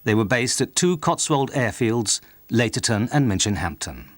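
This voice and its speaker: Man narrating a documentary with lively pitch. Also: moderate pace, dry recording.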